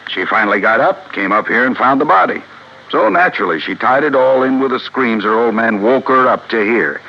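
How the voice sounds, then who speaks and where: An actor playing a blunt, insistent American police detective in a 1950s radio crime drama, slangy and emphatic. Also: male voice, medium pitch, expressive, a little fast, vocal fry. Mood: confident, explaining. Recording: muffled, noisy old radio recording.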